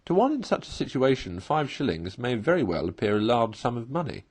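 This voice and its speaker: A man reading a classic story aloud as narrator, in an expressive storytelling style. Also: mid-range voice, brisk, husky.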